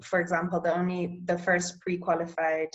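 Young woman speaking Bulgarian natively, lowish voice. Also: completely flat delivery, highs cut off. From Eswatini. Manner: measured, searching for words. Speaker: public health practitioner. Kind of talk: casual interview answers. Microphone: video call.

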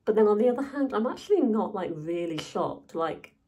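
A woman's voice: mid-range voice, expressive, brisk, dark tone, breathy edge. A young woman vlogging casually to camera, chatty and enthusiastic with lots of fillers.

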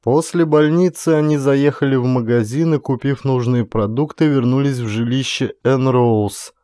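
Male narrator for dramatic fiction audiobook, warm dark tone, mid pitch, dry studio-close sound. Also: fairly even intonation, normal speed, no echo.

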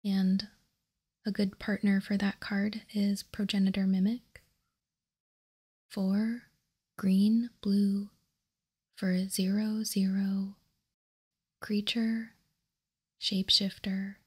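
A woman narrates in a soft, breathy ASMR whisper. Her delivery is very even and unhurried, with a mellow, relaxed tone. She sounds like a host calmly presenting items on a tabletop. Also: mid-range voice. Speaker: ASMR card game video host. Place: indoors, tabletop card showcase.

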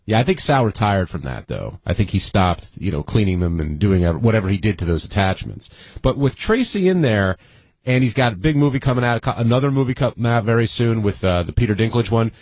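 Animated male radio host, dry studio sound. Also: on the low side, brisk, no room sound. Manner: sets up topics, then asks questions. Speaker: radio recap show host. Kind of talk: conversational radio discussion.

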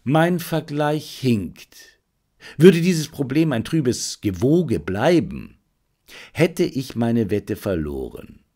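A man voicing a confident gentleman amateur detective in an audiobook, self-assured and commanding, given to reflection. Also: medium pitch, very animated, slow pace. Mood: satisfied, musing.

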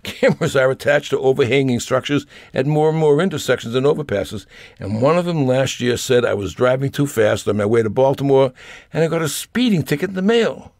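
A man's voice: mid-range voice, expressive, a little fast, a little mellow, no room sound, studio quality. An older man reading a scripted personal essay aloud in a wry, grumbling manner. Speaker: storyteller reading own essay.

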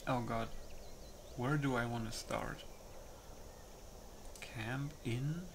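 A man's voice: mid-range voice, fairly even intonation, extremely slow, noisy background. A man giving casual gameplay commentary, thinking aloud and muttering hesitantly to himself. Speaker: gamer hosting a playthrough. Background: game music.

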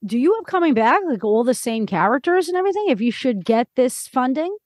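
A middle-aged woman interviews a guest in a conversational, affirming manner. Her voice sits a little high for a woman, and the sound is close and dry with hardly any room in it. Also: sing-song, fairly quick, dry recording. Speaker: podcast host interviewer.

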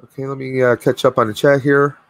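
Hoarse man, chatty stream host. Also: mid-range voice, little pitch movement, brisk, dry recording. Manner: chatty, rambling with fillers. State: losing his voice, clearing throat. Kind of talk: casual live stream chat. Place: home room with collectibles, indoors. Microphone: webcam video call.

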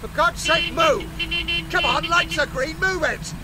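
An actor playing an irascible, overbearing villain at the wheel in a radio drama. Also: male voice, extremely high pitch, a little slow. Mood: furious. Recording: loud open-top car noise.

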